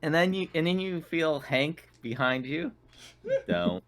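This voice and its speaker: A man's voice: fairly high pitch, a little slow, fairly bright tone, a little hiss or hum. A man bantering casually as a player in a tabletop game.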